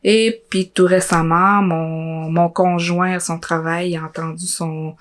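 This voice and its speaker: Low, even-toned young Quebec woman. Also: takes it slowly. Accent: Quebec French. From Côte-Nord, Quebec, Canada. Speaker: interviewee, social work student. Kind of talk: personal testimony in an interview.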